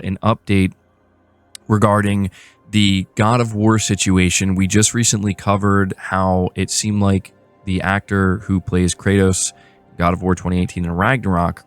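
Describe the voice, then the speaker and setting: A man's voice: on the low side, smooth. A man hosting a gaming news show, moving between read-out reports and casual commentary. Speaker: host of a gaming news channel.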